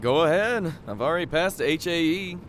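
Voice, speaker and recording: A man's voice: a little high, expressive, normal speed, fairly bright tone, a little hiss or hum. A man playing a cocky, sneering teenage schoolboy bully in an audio drama, with a taunting, mocking manner. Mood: smug, mocking.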